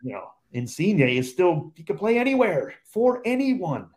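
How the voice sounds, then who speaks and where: A man's voice: high voice, very animated, a little slow, darkish tone. A man who calls games on the radio, a guest on a podcast chat, talking in an emphatic, opinionated way and repeating points for stress.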